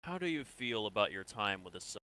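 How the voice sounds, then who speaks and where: A man playing a measured military interrogator in a sci-fi game role-play, asking probing, deliberate questions. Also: fairly low pitch, very animated, bright, forceful tone.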